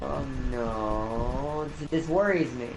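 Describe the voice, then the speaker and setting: A man giving casual gameplay commentary and teaching a co-player, halting and often repeating himself. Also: mid-range voice, creaky, over loud music. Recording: roomy echo, loud background noise.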